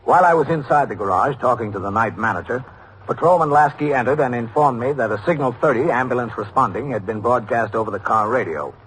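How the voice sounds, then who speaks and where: An actor playing an authoritative police captain who narrates in a measured, factual way in a 1950s radio drama. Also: male voice, medium pitch, fairly bright tone, natural voice. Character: authoritative, methodical male police captain narrating his shift. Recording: faintly noisy old radio recording.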